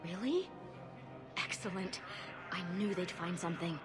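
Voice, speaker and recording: A woman's voice: lowish voice, lively intonation, a little slow. A woman playing an earnest, eager research official in a video game, insistent and professional. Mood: pleased. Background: music.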